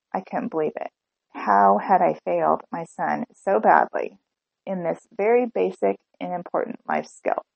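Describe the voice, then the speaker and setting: A woman with a cold hosts her own podcast. Her voice is low and gravelly, and she talks in an earnest, chatty way with small restarts. The sound is dry and close, with no room around it. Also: a little mellow, dry recording, rough recording. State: has a cold. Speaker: podcast host. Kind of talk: solo podcast talk.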